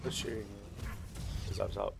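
A man giving casual, slangy gameplay commentary, talking to himself and to the game as he plays. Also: a little slow. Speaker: gamer recording a playthrough. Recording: game sound clearly behind voice. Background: video game ambience and effects.

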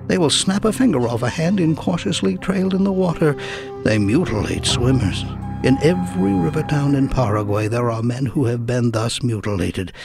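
A man narrating a first-person memoir as an audiobook, with deliberate, emphatic, dramatic storytelling. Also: medium pitch, gravelly. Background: music.

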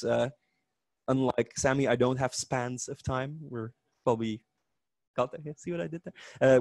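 A man with a Dutch accent gives a lively, joking technical talk at a meetup. His voice sits at a middle pitch and sounds dry and close, with little room echo. He often restarts his sentences as he explains. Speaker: conference speaker.

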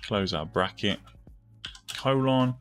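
Male tutorial host, low and unhurried with a bright edge, informal thinking-aloud delivery, music and typing behind. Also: lively intonation. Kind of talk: step-by-step coding tutorial narration.

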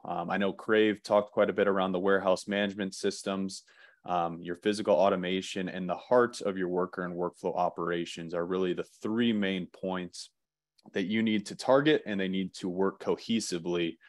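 A man presenting slides in an online webinar, relaxed, with many "um" fillers and restarts. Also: sits low, dry recording, studio quality.

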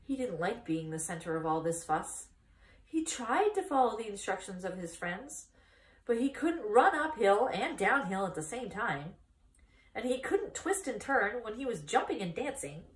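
A woman in her thirties reading a children's book aloud as expressive storytime narration. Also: medium pitch, moderate pace. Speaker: storytime reader. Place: small indoor room, seated by a wall. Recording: echoey room, distant microphone.

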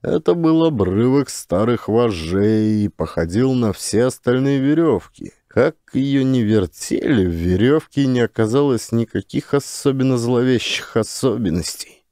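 A male narrator reads a literary tale expressively. His mid-pitched voice moves with lively intonation, and the recording is dry. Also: unhurried, no echo. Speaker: audiobook narrator, actor.

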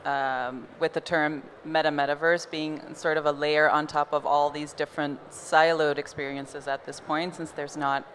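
A woman's voice: sits low, little pitch movement, moderate pace, few pauses, a bit bright, a little hiss or hum. A woman in her thirties from Belgium with an American accent, moderating a conference panel on a large stage into a handheld mic, loose and casual with many fillers. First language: not English.

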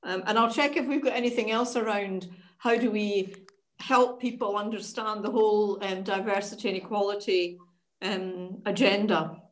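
A woman's voice: medium pitch, fairly even intonation, moderate pace, rough recording. A woman on a video call, offering help and repeating words as she starts sentences. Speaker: workshop participant.

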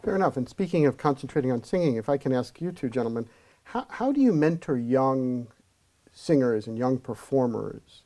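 A man's voice: mid-range voice, lively intonation, normal speed, mellow. A middle-aged man hosting a studio interview show, inviting his guests to speak. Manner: friendly, inviting questions. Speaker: host.

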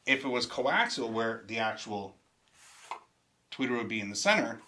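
A man's voice: medium pitch, animated, moderate pace, bright, forceful tone, a little hiss or hum. A man in his fifties giving an informal, explanatory product talk in an emphatic, conversational, step-by-step way. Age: 50s. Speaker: product reviewer and presenter. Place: indoor studio room.

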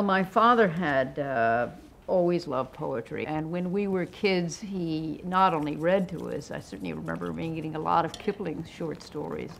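A woman in her late fifties from the United States, speaking thoughtfully and with measured care, as in a reflective interview or poetry reading. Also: on the low side, vocal fry. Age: fifty-eight. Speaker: painter and poetry reader.

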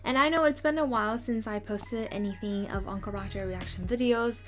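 A young woman reaction vlogger talks to a close camera in her bedroom. She is chatty and gushing, with soft music running quietly under her voice. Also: on the high side, fairly quick. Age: young adult. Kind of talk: casual reaction commentary. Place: bedroom, indoors.

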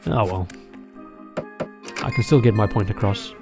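A man's voice: fairly low pitch, unhurried, darkish tone. A man giving casual gameplay commentary as a video narrator, with a dryly joking manner. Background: game music behind the voice.

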